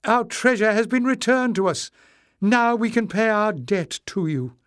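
A man's voice: a little high, slow pace, a little mellow, smooth, no echo, studio quality, voice pushed up. A man voicing a gracious, joyful woman leader with an earnest manner in an audiobook.

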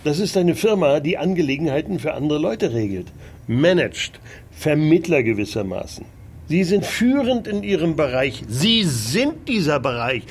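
Lively, high-voiced, persuasive adviser. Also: male voice, moderate pace, slightly rough, heavily acted. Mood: confident, persuasive. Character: confident, persuasive male adviser recommending a service. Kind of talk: modern radio drama acting.

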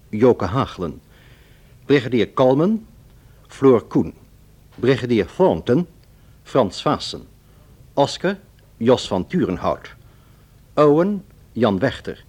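Slow, husky male radio announcer. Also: mid-range voice, darkish tone. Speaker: announcer reading closing credits. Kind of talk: formal scripted radio announcement.

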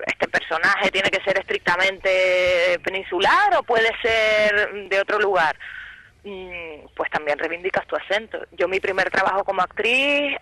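A woman's voice: fairly low pitch, pushed and bright, no echo, poor audio. A woman with a Canarian accent, an actress and journalist speaking in a casual panel discussion, thoughtful and lifting her voice when acting out imagined questions. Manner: reflective, rising emphasis when voicing imagined questions.

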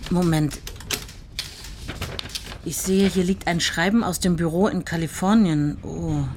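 A woman's voice: fairly low pitch, fairly even intonation, measured pace, a little hiss or hum, natural voice. An actress playing a formally polite, officious female clerk at a postwar tracing office in a radio play. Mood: businesslike.

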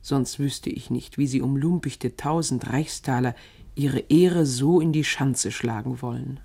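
A man's voice: medium pitch, a little slow, gravelly, mellow. A man playing a concerned, sensible letter-writer, reading a careful admonishment to a friend for radio. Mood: worried, admonishing.

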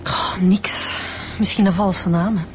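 A woman with a Flemish accent playing a brisk, capable police inspector in a radio crime drama, matter-of-fact in delivery. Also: medium pitch, steady tone, natural voice, poor audio. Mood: businesslike. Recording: music under the voice.

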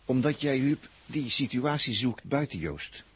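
Husky, stammering, excitable spaceship crewman. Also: medium pitch, a little slow. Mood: urgent, explaining. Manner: stammering, searching, animated. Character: excitable, brooding spaceship crewman with clairvoyant visions. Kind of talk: 1960s radio drama acting.

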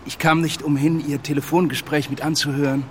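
Awkward male homeowner actor, radio drama: courteous, hesitant, slightly high voice. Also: flat delivery, a little fast, slight background noise, lightly acted. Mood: polite, friendly. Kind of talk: naturalistic radio drama dialogue.

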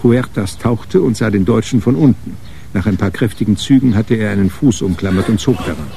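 An actor narrating a radio crime drama as a composed storyteller. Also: male voice, fairly low pitch, brisk, gravelly, dark tone, a little hiss or hum. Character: composed male storyteller of a detective tale.

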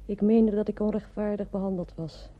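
An actress playing an embittered, bereaved older village mother in a radio drama, with a husky, curt delivery. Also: medium pitch, fairly even intonation, moderate pace, lightly acted. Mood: bitter, grieving. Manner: curt, bitter. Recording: dull old radio play recording.

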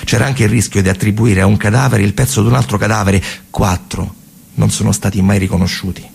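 A man with a central Italian, Roman accent performing a colloquial, emphatic theatrical storytelling monologue, pausing and repeating words, in a gravelly, husky voice. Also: lowish voice, steady tone, a little mellow, slight background noise. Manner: colloquial, emphatic, with pauses and repetitions. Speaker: stage actor and narrator.

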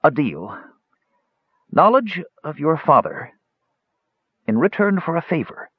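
Guy doing a dying old wizard for a fantasy audiobook, high strained raspy voice, slow and desperate, stubborn. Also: big pitch swings, no echo, lo-fi sound, full character voice. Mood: pained, insistent. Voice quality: strained, strangled. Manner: slow, labored, urgent.

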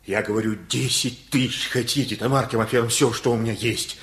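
A man's voice: medium pitch, fairly even intonation, a little fast, a bit bright, lightly acted. An actor playing a kindly, simple-hearted older working father in a radio play, folksy, earnest and pleading. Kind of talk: Russian radio play acting.

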